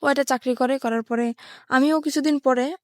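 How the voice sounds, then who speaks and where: A woman's voice: high voice, steady tone, normal speed, a little mellow, no room sound, clean studio sound. A woman from rural Bangladesh with a Bangladeshi accent, telling her life story step by step in a plain-spoken way as an interview guest. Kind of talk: personal life-story narration in a radio interview.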